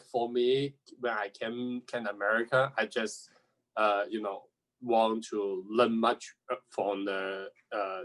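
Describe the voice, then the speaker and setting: A man with a Chinese accent, a Cantonese speaker, talks slowly on a panel. He stops and starts often, hunting for English words with plenty of fillers. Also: medium pitch, fairly bright tone. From China. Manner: halting, many fillers and restarts. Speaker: student intern. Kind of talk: panel interview answer.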